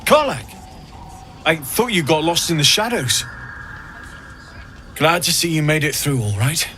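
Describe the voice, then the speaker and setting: An actor playing a friendly, plain-spoken refugee blacksmith in a fantasy game, earnest and direct. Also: male voice, a little high, very animated, a little slow, pushed and bright, soft background music, exaggerated voice. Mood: glad.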